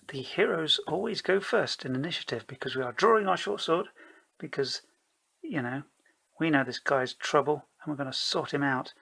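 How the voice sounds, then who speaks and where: A man's voice: fairly high pitch, creaky, sharp tone, husky, low-quality recording. A man narrating his own solo tabletop role-playing game in a casual, rambling way, self-deprecating and playfully theatrical.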